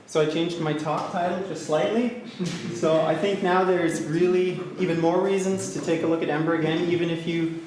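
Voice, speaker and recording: A man's voice: on the high side, fairly even intonation. Man speaking in a very echoey hall. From Austria. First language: German. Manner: halting, many fillers and restarts; jokey, self-deprecating. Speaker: conference speaker. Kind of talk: meetup tech talk. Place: large echoey hall with a crowd. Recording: very echoey hall, faint noise.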